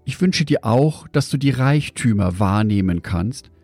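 Male devotional host, unhurried and reflective, mid pitch, close dry recording with faint music. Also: expressive, a little mellow, dry recording. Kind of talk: scripted devotional narration.

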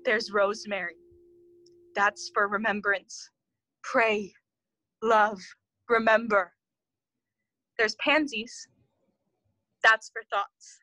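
A woman's voice: mid-range voice, steady tone, slow pace, pauses often, bright, forceful tone, dry recording, poor audio. A woman playing a mad, grieving young noblewoman in a classical audio play. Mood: dazed, sorrowful. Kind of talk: classical stage acting for audio.

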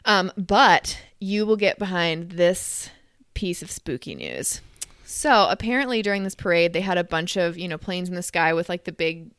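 A woman co-hosting a podcast in casual chat, talking fast with lots of fillers and frequent laughter. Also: lowish voice, expressive, a bit bright, smooth, no echo, clean studio sound. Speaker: podcast co-host.

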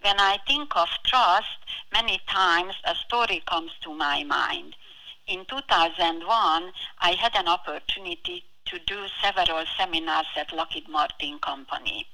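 Bright-voiced woman with a heavy foreign accent. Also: on the low side, a little slow, poor audio. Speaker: financial advisor, podcast guest.

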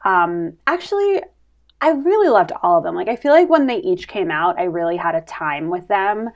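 A woman's voice: lowish voice, big pitch swings, moderate pace, highs cut off. An American woman in her thirties, chatting casually and playfully as a podcast co-host, often saying "like". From New Jersey, United States. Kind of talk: casual comedic podcast chat.

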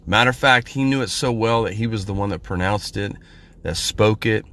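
A hoarse, middle-aged man from Central California preaches an informal podcast sermon in a fairly low voice. He talks in a halting way, full of pauses and restarts, and his voice sounds worn from a cold. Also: a bit bright. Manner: halting, many fillers and restarts. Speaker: podcast minister.

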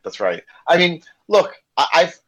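A man's voice: fairly high pitch, big pitch swings, a little slow, a bit bright, breathy edge. An American man telling a candid story in a casual podcast conversation, acting out the dialogue he quotes. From United States. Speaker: corporate trainer and podcast guest. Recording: slightly dull lo-fi sound.

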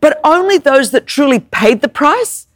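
A woman's voice: high voice, big pitch swings, unhurried, no room sound, studio quality. A woman whose first language is Greek, preaching an emphatic sermon with rhetorical questions and repeated words. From Greek Orthodox family background.

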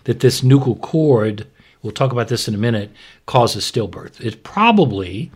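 A man's voice: mid-range voice, expressive, normal speed, husky. A man explaining medical matters in a conversational, plain-spoken way as a podcast host and expert. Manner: explanatory, plain-spoken, asks and answers own questions.

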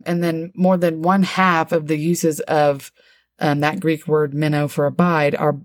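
Low-voiced middle-aged woman teaching. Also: flat delivery, dry recording, clean studio sound. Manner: warm, explanatory, reads definitions slowly. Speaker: podcast co-host, Bible teacher. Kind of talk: casual chat, Bible word study.

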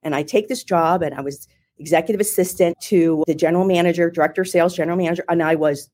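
Philly lady, middle-aged, lowish voice, chatty storyteller, lots of restarts, on a remote call. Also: fairly even intonation, fast, a little mellow. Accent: Philadelphia. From Philadelphia, US. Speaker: marketing executive, guest. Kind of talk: interview, career storytelling. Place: home or office in Ohio, remote call.

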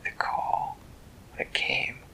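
A parent telling a bedtime story in a hushed, gentle, unhurried way. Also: in a whisper.

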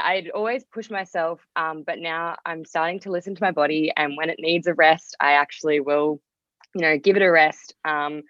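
A young woman talks casually as a podcast guest in a notably low voice. Her phrasing is loose and informal, and the sound is very dry and a little dull. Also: a bit bright, no room sound. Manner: informal, hedging with frequent "like" and "kind of". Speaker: podcast guest, music artist. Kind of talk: casual chat.

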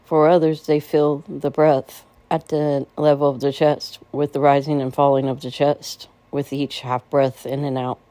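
A woman leading a guided meditation in a gentle, soothing way, with unhurried phrasing and pauses. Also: low voice, little pitch movement, dry recording, poor audio.

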